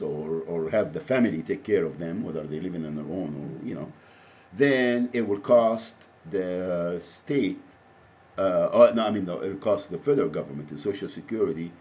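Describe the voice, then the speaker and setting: Deep-voiced Egyptian man, halting and rambling. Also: darkish tone. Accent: Egyptian Arabic. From Alexandria, Egypt. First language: Arabic. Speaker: activist, self-described advocate. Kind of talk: impromptu spoken monologue to camera. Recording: muffled audio with faint background noise.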